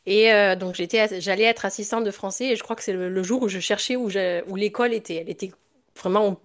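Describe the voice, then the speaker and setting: French woman, french accent, chatting as a podcast guest, kinda hesitant n reflective, medium pitch. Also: fairly even intonation, fast. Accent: French from France. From France. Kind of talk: casual interview conversation.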